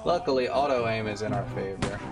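A man's voice: lowish voice, a little fast. A young man, a game streamer, giving casual speedrun commentary. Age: young adult. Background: game music.

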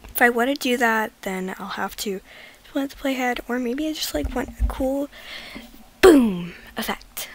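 Young woman, raspy, a bit high, rambling casual explainer, drops to whispers. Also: lively intonation, a little slow. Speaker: gaming video creator. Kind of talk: casual screen-recorded editing tutorial.

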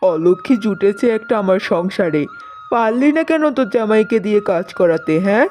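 A woman's voice: medium pitch, expressive, moderate pace, lightly acted. Woman acting a scolding mother-in-law, music underneath. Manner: sharp-tongued, berating. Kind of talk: cartoon story voice acting.

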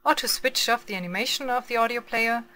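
A woman reading scripted screencast narration as a tutorial narrator, in a measured, instructional manner. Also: on the high side, bright, forceful tone, a little hiss or hum.

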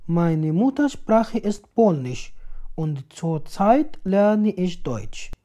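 A woman reads out short sentences at a very slow, careful pace, each word clearly spaced. Her voice is soft and mellow, sitting a little low. She sounds like a teacher recording a voice-over. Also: big pitch swings. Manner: slow, deliberate, clearly enunciated. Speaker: language teacher. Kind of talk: slow read example sentences, each twice.

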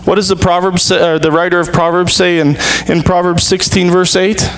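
A man in his thirties, a pastor, preaching an earnest sermon with measured, deliberate pauses from a church stage. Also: high-pitched, level tone, fairly bright tone, low-quality recording.

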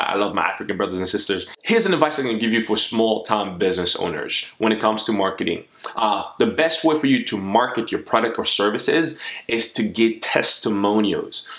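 A young man from the Democratic Republic of Congo gives upbeat, motivating advice directly to his viewers. His voice sits a little low. It was recorded in a small room at home with noticeable echo. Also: fairly bright tone. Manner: upbeat, encouraging, speaks straight to the viewer. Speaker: motivational YouTuber. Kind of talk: direct-to-camera advice vlog.

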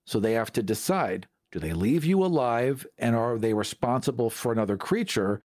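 Male co-host for gaming chat, average pitch, measured and analytical, conversational. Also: lively intonation, a little mellow. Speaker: podcast co-host. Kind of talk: casual tabletop gaming discussion.

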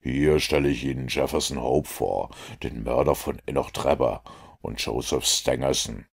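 A man voicing a calm, gravelly low detective. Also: moderate pace, heavily acted. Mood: calm, assured. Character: cool, precise adult male detective.

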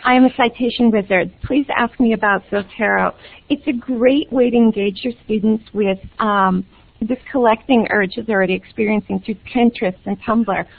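A woman's voice: fairly even intonation, normal speed, creaky, husky, no echo. A woman librarian giving an upbeat, enthusiastic webinar presentation over a phone-like connection. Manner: upbeat, enthusiastic sales-pitch delivery.

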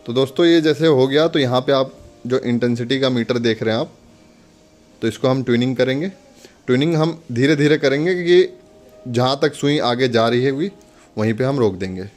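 A man's voice: mid-range voice, moderate pace, a little mellow, soft background music. An Indian man giving a casual step-by-step product demonstration, instructing viewers in a friendly, direct address.

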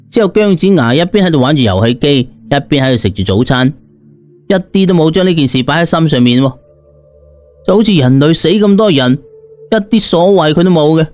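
A man's voice: medium pitch, a little mellow. A man with a Cantonese accent narrating a scripted story dramatically, as an audiobook reader. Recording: music behind the voice. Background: background music.

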